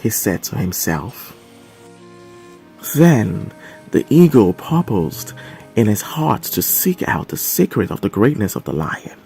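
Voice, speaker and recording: A man reading a dramatized story as scripted narration, with deliberate, measured and expressive phrasing. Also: lowish voice, vocal fry, soft background music.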